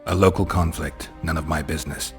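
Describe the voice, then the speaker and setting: An actor playing a stoic, blunt monster hunter in a video game, with a terse, deadpan manner. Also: male voice, rumbling low, a little fast, faint music behind. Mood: guarded, curt. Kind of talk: video game dramatic dialogue.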